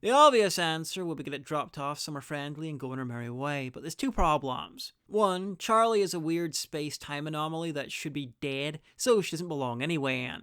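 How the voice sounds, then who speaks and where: A man presents a scripted guide in a smooth, fairly high male voice, recorded close and dry. He slips in opinionated, deadpan asides as he narrates. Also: brisk, hardly any pauses, no echo. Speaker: video presenter and reviewer.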